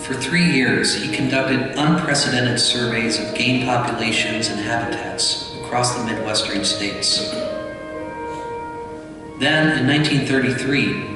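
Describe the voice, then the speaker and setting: Guy narrating a documentary, heard from far off in a big echoey hall, music loud. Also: medium pitch, a little slow. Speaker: narrator and biographer.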